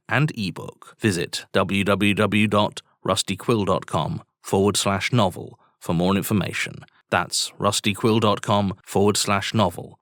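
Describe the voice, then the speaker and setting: A man reading a scripted promotional announcement in a measured, deliberate way. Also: on the low side, fairly bright tone, no room sound, studio quality.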